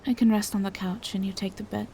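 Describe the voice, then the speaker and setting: Gentle vampire traveler, played by a woman: mid-pitched female voice, restrained melody, soft and courteous, audio drama with some ambient noise. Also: steady tone, fast, close to an everyday voice. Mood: uneasy, hushed. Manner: soft-spoken, polite, hesitant. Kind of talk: roleplay audio drama addressed to the listener.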